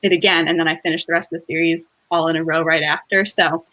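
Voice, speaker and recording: Female co-host, mid-pitched bright voice, dry close sound, relaxed book chat with playful exaggeration. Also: fast talker, no echo, poor audio. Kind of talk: casual chat about books.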